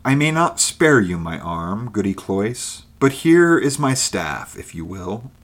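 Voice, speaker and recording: A man voicing the devil disguised as an elder traveler, measured and persuasive in archaic speech. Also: on the low side, big pitch swings, a bit bright, exaggerated voice. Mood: sly.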